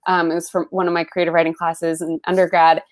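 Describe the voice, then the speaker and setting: A young adult woman whose first language is Spanish, from the Bay Area, talking in a casual interview. She fills her speech with "like" and "um" and grows animated when recalling stories. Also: on the low side, fast talker. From San Francisco Bay Area, US. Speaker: interview guest, writer.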